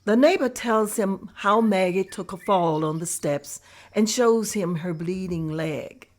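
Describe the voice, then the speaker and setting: A woman narrating scene directions in a table read, plain and steady. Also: medium pitch, unhurried.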